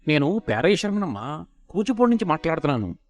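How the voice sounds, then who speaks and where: A man's voice: on the high side, big pitch swings, fast talker, voice pushed up. A man voicing an earnest, talkative wedding priest caller who drawls in an avuncular, pompous way. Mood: polite.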